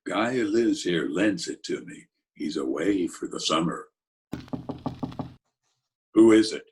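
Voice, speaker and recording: A man playing a gruff, laconic Broadway big shot with a soft heart, in old-time radio drama style. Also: sits low, expressive, a little slow, heavily acted. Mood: brisk. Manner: gruff, laconic street slang. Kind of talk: 1940s radio drama acting.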